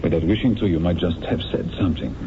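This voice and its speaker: An actor playing a smooth, manipulative leader of a secret society, in a 1970s radio drama. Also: male voice, sits low, fast, close to an everyday voice. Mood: smooth menace. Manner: smooth, commanding, softly menacing. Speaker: villain. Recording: tinny lo-fi radio broadcast with hiss.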